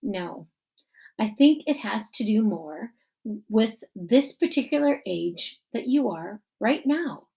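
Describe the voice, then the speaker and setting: A woman voicing a middle-aged male school principal giving an earnest graduation speech in a children's novel, measured and emphatic with deliberate pauses. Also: mid-range voice, animated, vocal fry, mellow, low-quality recording. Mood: earnest, reflective. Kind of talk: children's novel read-aloud.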